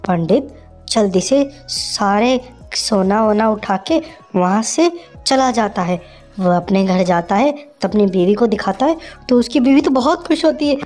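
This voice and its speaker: A woman's voice: medium pitch, animated, measured pace, a little mellow. Woman telling a kids' story over music. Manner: simple, conversational storytelling. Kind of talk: children's story narration.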